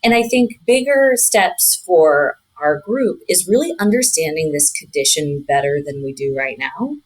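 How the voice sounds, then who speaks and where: A woman speaking as a physician researcher in a podcast interview, explaining findings in a measured, articulate way with clinical terms. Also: low-pitched, rough recording.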